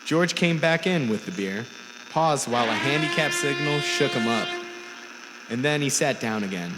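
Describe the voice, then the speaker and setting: A man reading a short story aloud in a deliberate, theatrical style, drawing out some words. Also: mid-range voice, expressive, heavy background noise. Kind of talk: dramatic scripted story narration. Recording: music score under the voice. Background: music score.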